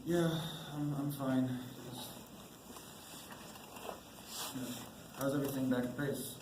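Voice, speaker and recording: A man's voice: medium pitch, fairly even intonation, moderate pace, natural voice. A young man in a school play acting a reluctant, easily needled young army sergeant. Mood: anxious, subdued. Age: young adult. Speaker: student actor in a one-act school play. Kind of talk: amateur stage acting. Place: indoor stage, recorded from the audience. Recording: roomy echoing stage recording, loud noise.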